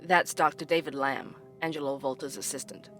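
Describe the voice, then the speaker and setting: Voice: medium pitch, steady tone, a little fast, a bit bright, faint music behind, lightly acted. An actor playing a dry-witted, persistent podcast host in an audio drama, narrating and interviewing conversationally. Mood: probing, conversational. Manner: wry, conversational.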